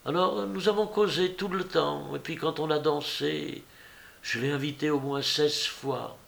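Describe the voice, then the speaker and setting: A man's voice: a little high, unhurried, gravelly, a bit bright, pitched above the performer's own voice, heavily acted. A man voicing a naive, shy, lovesick young man with a hesitant, stammering, deferential manner in an audiobook. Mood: shy, lovestruck. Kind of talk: audiobook character voice.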